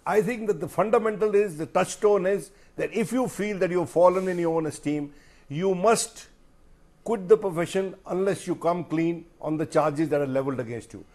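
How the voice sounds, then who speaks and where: A man's voice: high-pitched, moderate pace, a little mellow. A man with an Indian accent, a journalist speaking emphatically and rhetorically as a guest in a panel discussion, repeating phrases for weight.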